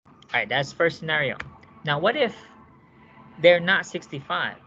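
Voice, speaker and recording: A male nursing instructor lectures in a bright, forceful voice. He works through cases by asking the class questions and checking that they follow. The classroom recording sounds dull and lo-fi. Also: fairly high pitch, big pitch swings, measured pace.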